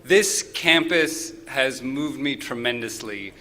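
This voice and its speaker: A man hosting a live event on a campus, giving earnest thanks and introducing a guest, with halting fillers. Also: mid-range voice, sharp tone. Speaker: host.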